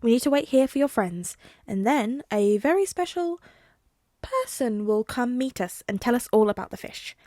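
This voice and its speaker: A woman voicing a calm, dry-witted father who speaks in a measured way. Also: on the high side, no room sound, natural voice. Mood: wry. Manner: wry, measured.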